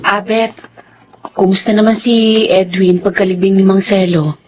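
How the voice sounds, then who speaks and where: A woman's voice: medium pitch, fairly even intonation, moderate pace, over loud music, close to an everyday voice, rough recording. An actress playing a kind, hospitable young Filipino woman in a radio drama, friendly and considerate. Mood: concerned.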